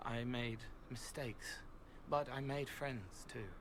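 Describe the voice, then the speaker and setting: A man plays a gentle, haunted spirit in a young man's shape for a video game. He speaks slowly and earnestly in halting little phrases. There is clear noise behind the voice. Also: medium pitch, natural voice. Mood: wistful, earnest.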